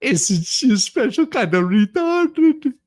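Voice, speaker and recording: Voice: sits high, sing-song, slow pace, darkish tone. A livestream host giving a comedic, mocking dramatic reading of a document, with crude ad-libbed asides. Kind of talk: comedic dramatic reading of a court ruling, with ad-libs.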